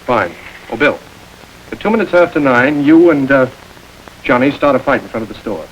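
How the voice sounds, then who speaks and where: A man playing a calm, commanding gang boss. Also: mid-range voice, moderate pace, dull audio, a little hiss or hum, close to an everyday voice. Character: smooth, calculating middle-aged gang boss. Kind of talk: 1930s crime film acting.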